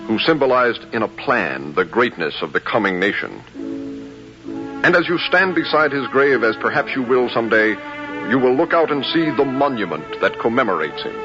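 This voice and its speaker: A man giving solemn, grandiose scripted narration in the style of 1950s radio. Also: mid-range voice. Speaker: dramatic narrator. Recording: muffled vintage radio, music underneath. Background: music.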